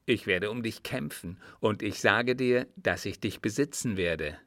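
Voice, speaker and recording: Guy doing a pushy lovesick frenchman for an audiobook, low voice, intense, urgent, hot tempered. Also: fairly even intonation, takes it slowly, a bit bright, dry recording, studio quality. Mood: insistent, possessive. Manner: hissing, urgent, pleading. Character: passionate, possessive Frenchman wooing a woman, insistent and hot-tempered. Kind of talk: audiobook character dialogue.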